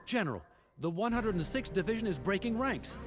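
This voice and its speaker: A man's voice: high-pitched, big pitch swings, fairly quick, background noise. A man playing a dutiful, anxious junior soldier in a video game, giving urgent, deferential reports. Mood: urgent, alarmed. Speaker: military aide reporting. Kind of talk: scripted game dialogue, field reports.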